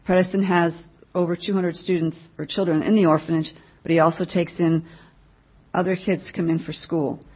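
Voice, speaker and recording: A woman giving a mission update at church, reading a written report aloud to the congregation in a plain, measured way. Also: lowish voice, flat delivery, breathy edge, highs cut off.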